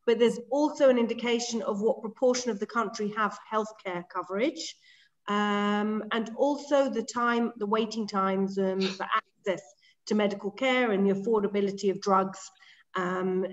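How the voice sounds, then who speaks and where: A middle-aged woman with an English accent presents a lecture online, speaking in a clear, organised way with frequent ums. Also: mid-range voice, fairly even intonation, normal speed, highs cut off. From England. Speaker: medical director giving a webinar lecture. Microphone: video call.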